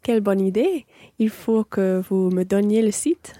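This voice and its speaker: A woman playing a polite, impressed dinner companion in a language-course radio play, phrasing her lines clearly. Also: medium pitch, moderate pace, dark tone, no room sound, clean studio sound, close to an everyday voice.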